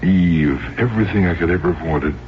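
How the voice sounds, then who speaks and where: A man's voice: deep voice, a little fast, lightly acted. An actor playing an authoritative middle-aged doctor and father in an emphatic 1970s radio drama style. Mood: regretful. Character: authoritative doctor father of grown daughters, urgent, controlling. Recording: dull, faintly noisy old radio broadcast.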